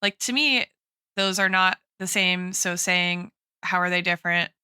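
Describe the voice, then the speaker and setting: A woman's voice: fairly low pitch, measured pace, sharp tone, clear, resonant tone, dry recording, studio quality. A woman co-hosting a podcast in casual intellectual discussion, mixing academic terms with informal, "like"-peppered talk. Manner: informal, academic, frequent "like" and "right?". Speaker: podcast co-host and guest.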